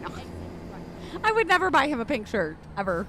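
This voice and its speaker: A woman's voice: high-pitched, huge pitch swings, a little fast, background noise. A woman co-hosting a live stream, chatting casually and reading chat messages aloud with frequent laughs. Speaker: stream co-host.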